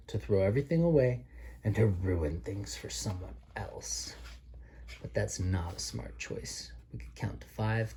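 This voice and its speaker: A man reading a children's picture book aloud as a father to his child, expressively and with drawn-out emphasis. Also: fairly low pitch, dark tone, a little hiss or hum.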